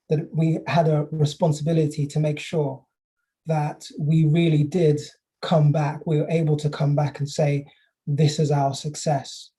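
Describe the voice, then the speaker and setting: A man giving a measured, thoughtful contribution with pauses in an online council meeting over a video call. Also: a little high, mellow, low-quality recording. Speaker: meeting participant.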